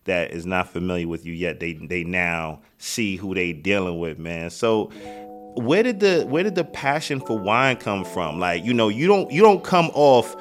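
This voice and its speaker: A low-voiced man hosts a casual interview, laid-back and full of slang. He hypes up his guest as he talks. Faint music runs underneath. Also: big pitch swings, moderate pace. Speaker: podcast host.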